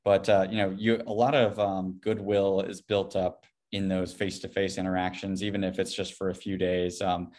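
Male podcast guest, low voice, casual and rambling delivery with plenty of hedging. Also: level tone, moderate pace, hardly any pauses, darkish tone. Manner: rambling, hedging with frequent "you know". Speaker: podcast guest, Bitcoin company CEO. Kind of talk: casual interview conversation.

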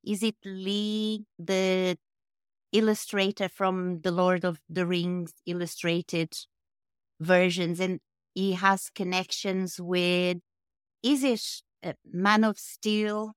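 A woman podcast host who asks her guest questions slowly and with curiosity. Her voice is smooth and clear, and the recording is dry and close. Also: on the low side, little pitch movement, no echo. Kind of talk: casual interview conversation.

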